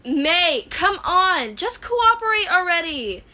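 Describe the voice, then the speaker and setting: A woman's voice: very high-pitched, big pitch swings, takes it slowly, pushed and bright, pitched above the performer's own voice, exaggerated voice. A woman voicing an earnest, eager, easily exasperated teenage boy in a fan fiction audiobook. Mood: impatient yelling.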